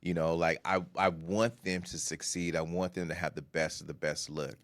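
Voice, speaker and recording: A man with a deep voice co-hosting a casual podcast, talking in relaxed slang and getting animated. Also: moderate pace, no room sound. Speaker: podcast co-host, content creator.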